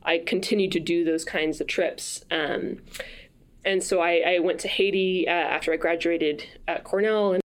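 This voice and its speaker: A woman's voice: lowish voice, fairly even intonation, moderate pace. A woman from France, a podcast guest, talking through her background in a thoughtful way, with many restarts. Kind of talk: casual conversational interview.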